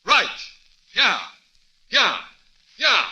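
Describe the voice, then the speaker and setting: A sardonic, exasperated homeowner. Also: male voice, high voice, huge pitch swings, extremely slow, heavy background noise, exaggerated voice. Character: exasperated middle-aged male ad man, new homeowner. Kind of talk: 1940s radio acting.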